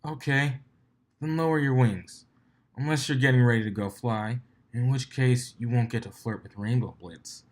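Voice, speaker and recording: A man's voice: medium pitch, moderate pace, lightly acted. Man playing a cheeky, cocky young stallion. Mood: smug. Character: cheeky, cocky stallion who teases a mare.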